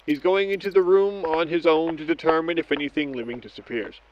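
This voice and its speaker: A man playing a methodical scientist in an audio drama, narrating each step of an experiment log in a measured, clinical way. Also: a little high, steady tone, close to an everyday voice. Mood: clinical, curious. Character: methodical male scientist doctor logging experiments on tape. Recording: dull lo-fi audio with background noise.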